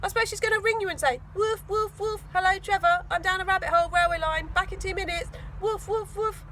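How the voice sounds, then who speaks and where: A woman's voice: extremely high pitch, fairly even intonation, a little fast, bright, forceful tone, a little hiss or hum, pitched above the performer's own voice. A woman voicing a cocky, teasing young know-it-all girl in a storybook, with a sarcastic manner.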